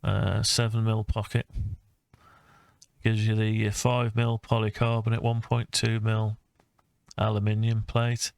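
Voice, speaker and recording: A man talking through his own design project informally, thinking aloud with hesitant, halting phrases. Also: lowish voice, monotone, creaky, dry recording, studio quality. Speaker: hobbyist maker presenting own design project. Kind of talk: informal screen-recorded CAD design walkthrough.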